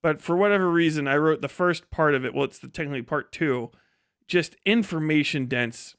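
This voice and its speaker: Casual male streamer, smooth and fairly high-pitched, very dry sound. Sardonic, exasperated, swears constantly, rambles freely. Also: brisk, fairly bright tone, dry recording. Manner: heavily profane. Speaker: livestreamer and video creator giving a work update. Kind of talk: casual rambling livestream chat.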